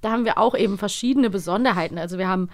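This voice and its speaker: A woman's voice: medium pitch, very fast, dry recording. A woman chatting casually as co-host of a podcast. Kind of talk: casual chat.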